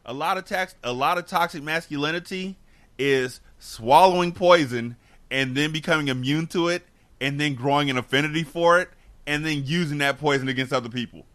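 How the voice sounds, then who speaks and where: A man's voice: on the high side, fairly quick, a bit bright. A man hosting a casual podcast discussion, talking in a reflective, earnest way with frequent restarts. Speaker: podcast host.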